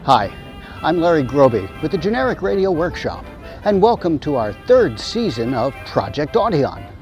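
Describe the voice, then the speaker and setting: A man's voice: a little high, very animated, moderate pace, darkish tone, highs cut off, soft background music. A man presenting as host of a vintage radio drama series, scripted, emphatic and showmanlike.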